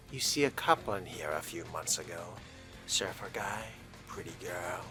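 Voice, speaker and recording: A man roleplaying a polite, brooding young fledgling vampire in a video game, measured, formal and musing. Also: deep voice, animated, music in the background. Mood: probing.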